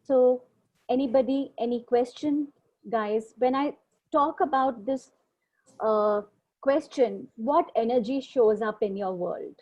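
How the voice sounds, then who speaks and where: A woman's voice: on the high side, slow pace, mellow, highs cut off. A woman with an Indian accent and Hindi as her mother tongue, hosting an informal online group discussion in a questioning, encouraging way. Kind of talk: informal facilitated discussion, mixing English and Hindi. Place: online video call.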